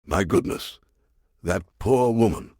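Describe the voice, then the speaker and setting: Wry, low-voiced older newspaperman, acted by a man. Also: lively intonation, takes it slowly, vocal fry, darkish tone, no echo, studio quality, exaggerated voice. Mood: curious, troubled. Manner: wry, muttering to himself. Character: world-weary retired war correspondent starting over alone. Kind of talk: audio drama acting, musing aloud.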